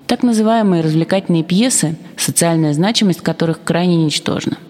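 A woman formally reading an official document in a measured manner, with echoing reverb around her voice. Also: sits low, a little mellow. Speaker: guest reader of documents. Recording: long echoing hall reverb.

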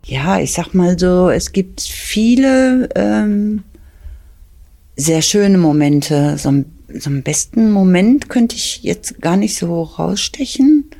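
A woman's voice: fairly low pitch, animated, slow pace, vocal fry, warm tone. A woman in her sixties from the Ruhr area, a master hairdresser, answering interview questions hesitantly. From Ruhr area, Germany. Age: 60s. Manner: hesitant, searching for words.

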